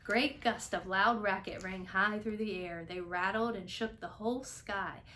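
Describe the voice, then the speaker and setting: A woman reading a children's storybook aloud in an expressive narrating style. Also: mid-range voice, unhurried. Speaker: storybook reader.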